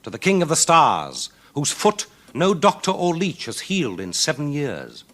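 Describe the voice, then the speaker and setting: A man's voice: on the high side, huge pitch swings, moderate pace, a bit bright, voice pushed up, full character voice. A man voicing a bold, brash young hero of a storybook folk tale, declaiming his commands. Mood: urgent, commanding. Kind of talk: children's storybook reading.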